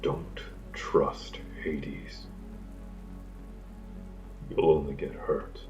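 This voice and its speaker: Man playing a deep, slow, grieving god. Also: level tone, a little mellow, dull audio, faint music behind, exaggerated voice. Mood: grave, resigned. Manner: solemn, measured, grave. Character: grieving, embittered god of seasons, mourning his daughter. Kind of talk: dramatic audio drama acting.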